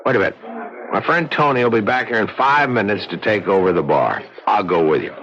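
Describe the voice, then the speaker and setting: An American actor playing a scruffy, streetwise bartender in a 1970s radio drama, flippant and sardonic but helpful. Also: male voice, on the low side, expressive, fairly quick, a bit bright. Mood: wry, protective. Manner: sardonic, flippant, mock-poetic. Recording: dull 1970s radio broadcast, music behind.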